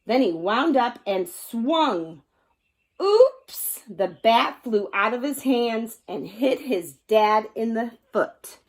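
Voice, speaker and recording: A woman's voice: fairly high pitch, very animated, slow. A woman storyteller reading a children's picture book aloud expressively, acting out the sound words with drama.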